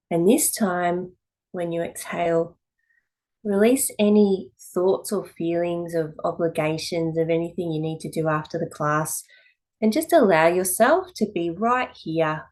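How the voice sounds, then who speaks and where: Female yoga instructor, slightly low pitch, calm and measured guided-meditation delivery with pauses. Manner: calm, measured, with pauses between short phrases. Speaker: yoga teacher.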